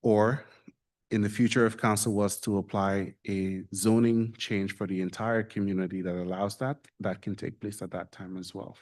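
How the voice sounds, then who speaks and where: A man, reading a formal report to a council meeting in a measured, official way. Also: lowish voice, little pitch movement, darkish tone. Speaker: municipal staff presenting a report.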